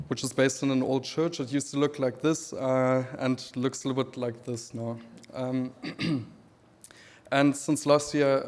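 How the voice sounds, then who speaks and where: A man in his thirties from Namibia with a German accent, giving a relaxed conference talk with dry humor and many pauses. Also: medium pitch. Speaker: speaker presenting his creative work. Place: event hall. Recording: reverberant hall.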